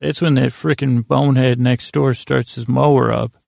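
A man hosting a bedtime podcast, rambling in a halting, meandering way with a gravelly, creaky voice. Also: medium pitch, fairly even intonation, a little mellow, dry recording, studio quality. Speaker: podcast host. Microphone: close podcast microphone.

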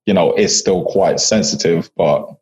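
A man's voice: low-pitched, a little slow, darkish tone, slightly rough. A man moderating a reflective, casual discussion, in a slightly dull recording.

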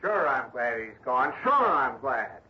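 A man's voice: mid-range voice, sing-song, takes it slowly, a loud crowd. An actor playing a resentful, defensive businessman with an emphatic, blustering manner in a 1960s radio drama. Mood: bitter, rueful. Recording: dull vintage radio recording.

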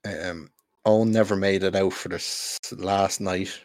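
Low-pitched Irish man, sweary and blunt. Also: fairly even intonation, a little slow, a little mellow, no room sound, studio quality. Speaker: podcast host. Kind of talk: casual chat with friends.

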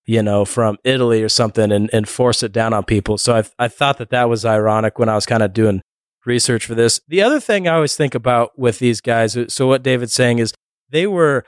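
A man's voice: medium pitch, fairly quick, no room sound, clean studio sound. A man hosting a casual podcast, asking questions and recapping what his guests say in a conversational way. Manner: conversational, leads with questions, recaps others' points. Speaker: podcast host. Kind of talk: casual discussion.

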